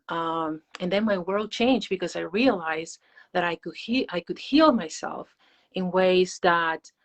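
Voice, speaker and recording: A woman with a Peruvian Spanish accent speaks in a slightly low voice. She is an interview guest, explaining things in a personal, reflective, conversational way. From Peru. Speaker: interview guest, meditation teacher.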